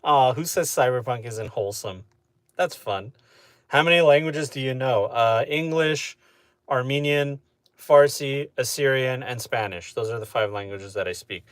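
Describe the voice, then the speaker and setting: A man chatting casually with his live-stream audience, relaxed and talkative, often asking "you know what I mean?". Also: mid-range voice, moderate pace, dry recording. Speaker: streamer answering chat.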